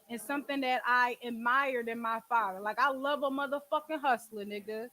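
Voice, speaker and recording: A woman's voice: fairly high pitch, fairly even intonation, moderate pace, pushed and bright. A woman, talking candidly and emphatically about personal matters in slang with plenty of swearing. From Philadelphia, United States. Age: 20s. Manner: blunt, emphatic, street slang, frequent swearing. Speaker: podcast and call-in show host. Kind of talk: casual, candid relationship talk.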